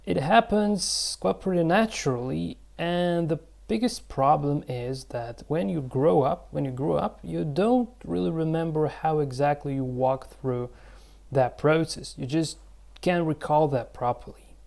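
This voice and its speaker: A man's voice: on the high side, measured pace, vocal fry, a little mellow. A young man, a native Russian speaker with a Russian accent, explains his language-learning method in unscripted talk, with many fillers and restarts.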